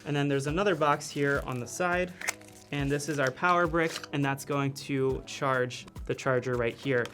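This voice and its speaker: A man's voice: mid-range voice, normal speed, over music. A man casually reviewing video gear in his office studio, explaining things step by step in a friendly way.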